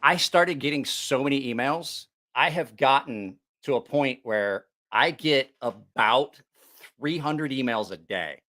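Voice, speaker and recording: A man's voice: medium pitch, unhurried, bright, forceful tone. A man hosting a casual livestream, rambling and repeating himself, with plenty of swearing. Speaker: YouTube knife channel host.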